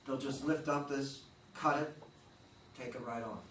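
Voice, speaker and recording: A man's voice: medium pitch, fairly even intonation, measured pace, a bit bright. Playwright guy explaining his play, echoey hall, noisy distant recording. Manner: explanatory, patiently walking through the plot. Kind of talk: post-reading discussion. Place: theatre room.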